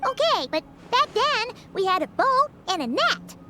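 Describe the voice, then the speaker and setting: A tiny fairy companion squeaks along in a very high, bouncy voice, sassy and quick to tease. This is game dialogue, with loud music underneath. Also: female voice, big pitch swings, heavily acted. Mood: skeptical. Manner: teasing, quick to complain.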